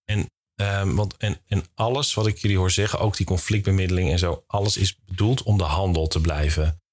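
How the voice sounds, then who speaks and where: A man hosting a podcast in a casual interview style, halting and repeating words as he forms questions. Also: low-pitched, fairly even intonation. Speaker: podcast host.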